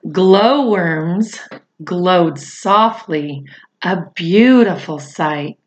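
A middle-aged woman reading a picture book aloud to children expressively, with chatty asides. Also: on the low side. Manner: expressive, drawn-out delivery addressed to young children. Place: small indoor room at home.

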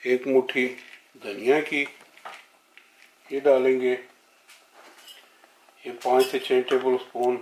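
Male home-cook host, medium pitch, plain step-by-step narration, echoey kitchen recording. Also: little pitch movement, slow pace, long pauses. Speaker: home cooking channel host. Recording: roomy kitchen echo, faint noise, sizzling.

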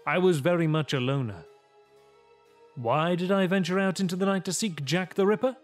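Man acting arrogant male vampire, fairly high voice, theatrical gothic monologue, brooding, music underneath. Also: moderate pace, natural voice. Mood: brooding, musing. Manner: theatrical, ruminative, formal. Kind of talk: gothic audio drama monologue.

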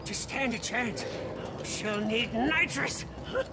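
A shrill, fervent old mechanic. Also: male voice, takes it slowly, gravelly, heavily acted. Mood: eager. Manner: shrill, fervent, fawning, prone to excited outbursts. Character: hunched, zealous old male car-worshipping mechanic. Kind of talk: video game cutscene acting. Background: loud game score music.

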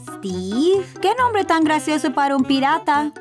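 Very high-voiced, wide-eyed little boy. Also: big pitch swings, unhurried. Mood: amazed, excited. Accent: Latin American Spanish. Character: small curious, excitable preschool boy. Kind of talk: children's cartoon dub. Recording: music behind the voice.

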